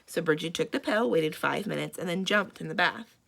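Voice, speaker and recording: A woman reading a children's picture book aloud expressively as a storyteller, with dramatic emphasis and drawn-out words. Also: fairly low pitch, creaky, fairly bright tone. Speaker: library storyteller.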